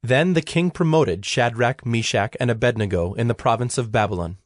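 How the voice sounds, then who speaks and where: A man's voice: mid-range voice, normal speed, no room sound. Male scripture narrator, dry close sound.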